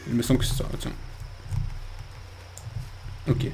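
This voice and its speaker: A man giving casual video game commentary, thinking aloud in a hesitant way. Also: a little slow. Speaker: video game let's player. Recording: faint background noise.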